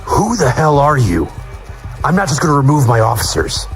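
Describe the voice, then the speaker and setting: A man's voice: medium pitch, very animated, normal speed, faint music behind. A man playing a stern, confrontational police detective in an audio drama. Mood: indignant. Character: gruff, indignant male police detective.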